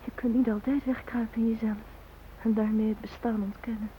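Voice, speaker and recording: An actress in a radio drama playing a grieving young woman who speaks haltingly and in anguish to her dead fiancé. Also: a little high, level tone, warm tone, slight background noise, close to an everyday voice. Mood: quiet, wistful. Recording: dull, lo-fi old radio recording.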